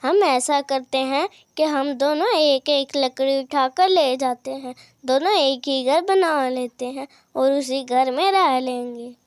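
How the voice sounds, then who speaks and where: Woman as a gentle, high-voiced motherly bird. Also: no echo, studio quality. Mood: tender. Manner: gentle, slow, consoling. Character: kindly motherly older female bird.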